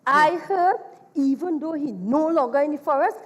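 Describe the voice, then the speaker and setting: Woman playing bossy old trini she-devil on stage, really high voice, full of scorn, hall echo. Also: normal speed, close to an everyday voice. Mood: gossipy, mocking. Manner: scornful, exclamatory. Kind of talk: theatrical stage dialogue. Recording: echoey theatre hall.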